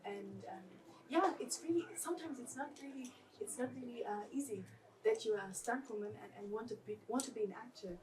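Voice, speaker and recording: Upbeat woman from Germany, distant with crowd. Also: fairly high pitch. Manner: upbeat, laughs often, halting restarts. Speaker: actress and stunt woman on a panel. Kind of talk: casual panel talk. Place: panel stage at a festival. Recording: distant mic with audience noise.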